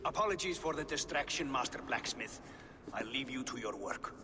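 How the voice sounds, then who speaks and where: An actor playing a polite, evasive seeker of knowledge in a video game, apologetic and deferential. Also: male voice, medium pitch, lively intonation, normal speed, lightly acted. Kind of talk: video game cutscene acting. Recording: distant, reverberant, noisy ambience.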